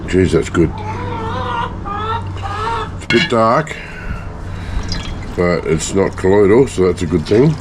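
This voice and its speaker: A man with a hoarse, failing voice from a bad cough, casually talking viewers through his home refining work in a chatty, rambling way. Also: deep voice, animated, slow, long pauses, noisy background. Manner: chatty, rambling, self-mocking asides. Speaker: hobbyist gold refiner and video maker. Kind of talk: casual talk-through of a lab process.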